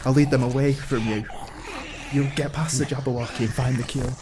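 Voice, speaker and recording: A woman plays a timid, selfless rabbit in a fantasy radio play. The voice is low and urgent, with faint background noise. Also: steady tone, fairly quick. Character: timid, self-sacrificing rabbit, slow and loyal. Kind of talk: amateur fantasy radio drama acting. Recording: noisy ambience behind the voice.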